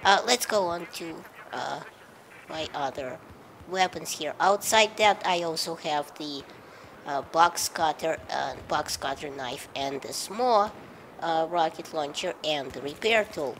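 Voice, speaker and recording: A woman's voice: sits low, measured pace, a bit bright, slight background noise, rough recording. A woman with a head cold giving casual, rambling, wry video game commentary, with hesitations along the way.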